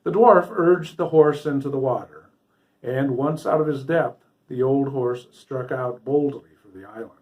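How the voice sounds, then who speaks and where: Guy reading a storybook out loud, medium pitch, dramatic and deliberate phrasing. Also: low-quality recording. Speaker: storybook reader. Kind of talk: scripted fairy tale narration for a story hour.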